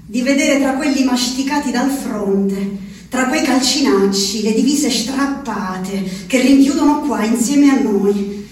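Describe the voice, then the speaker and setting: Very high-voiced, anguished Abruzzese asylum inmate. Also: female voice. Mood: wistful, reproachful. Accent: central-southern Italian, Abruzzese. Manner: rustic, halting, tagging phrases with "eh". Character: anguished peasant wife shut in an asylum, pleading. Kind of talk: theatrical monologue, letter spoken aloud. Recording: roomy, distant stage sound, faint noise.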